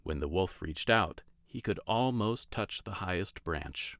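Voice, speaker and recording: A man's voice: low voice, animated, measured pace, a bit bright, no room sound, clean studio sound. A man reading a children's story aloud as a scripted narration.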